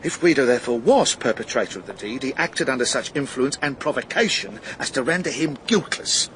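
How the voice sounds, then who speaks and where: An actor playing an indignant, pompous gentleman in a radio drama, with an oratorical, emphatic way of talking. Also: medium pitch, lively intonation, brisk, slight background noise, low-quality recording. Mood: earnest argument. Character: indignant, opinionated gentleman, pompous partisan of nobility.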